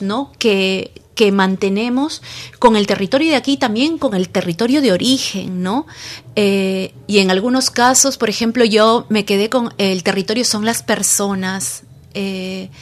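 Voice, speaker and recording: A woman speaking slowly and reflectively in a casual radio roundtable, with many pauses and hesitations. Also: medium pitch. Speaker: roundtable participant passing turns.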